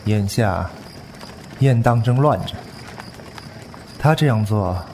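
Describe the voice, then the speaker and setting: 一名男演员在武侠广播剧中饰演一位沉着忠诚而冷酷的剑客，说话庄重简洁。. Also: male voice, lowish voice, expressive, warm tone, a little hiss or hum. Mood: calm. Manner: grave, terse, measured. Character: composed, loyal, ruthless male swordsman. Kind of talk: Chinese wuxia audio drama acting.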